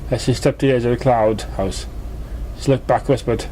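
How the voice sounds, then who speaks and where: Halting male story reader with background noise. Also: mid-range voice, dark tone, low-quality recording. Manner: halting reading, stumbles over words. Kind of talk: scripted fan fiction narration.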